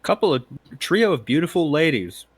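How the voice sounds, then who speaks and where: A man's voice: a little high, very animated, moderate pace, breathy edge, dry recording. A young man co-hosting a stream, tossing in dry, joking asides. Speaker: stream co-host. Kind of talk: casual banter.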